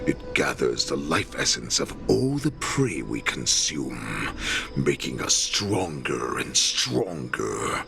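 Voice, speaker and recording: An actor playing an ancient, sly, captive wolfish warlord in a game, speaking with slow theatrical menace and breathy drops toward a whisper. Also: male voice, very deep voice, big pitch swings, over music, exaggerated voice. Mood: hungry, menacing. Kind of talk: dramatic game cutscene monologue.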